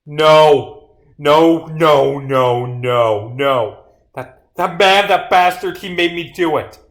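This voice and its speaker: A man's voice: fairly high pitch, normal speed, a bit bright, pitched above the performer's own voice, natural voice. A cornered murderess from a visual novel, acted by a man, frantic and bitter. The voice rises and pushes hard, stammering and repeating itself in outrage.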